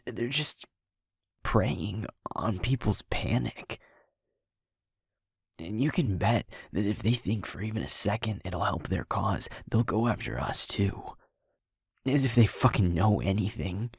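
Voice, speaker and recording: A man playing a sweet, shaken young werewolf boyfriend in an intimate audio roleplay, halting and stammering, with a breathy, husky voice. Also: on the low side, dry recording, studio quality, full character voice. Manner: halting, hushed, stammering, sighing.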